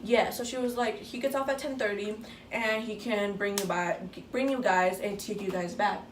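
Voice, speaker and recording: A woman's voice: mid-range voice, moderate pace. A teenage girl chats through a story in a casual way, swearing often and acting out each line of dialogue. She sounds a little far from the mic in an echoey room, with a faint hiss behind her. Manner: casual, swears freely, acts out quoted dialogue. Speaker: vlogger.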